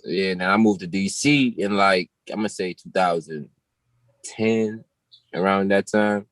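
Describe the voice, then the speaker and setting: A man's voice: low-pitched, measured pace, a bit bright, dry recording. A man in his twenties with a Jamaican accent, a musician chatting casually as a guest on an interview show. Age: 20s. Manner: laid-back, slangy, laughs often.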